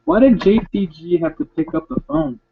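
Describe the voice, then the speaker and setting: Gravelly man ranting on a tinny line. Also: high-pitched, normal speed. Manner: sarcastic, rambling, lively impressions. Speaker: wrestling commentator. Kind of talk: casual rant. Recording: tinny phone-like lo-fi sound.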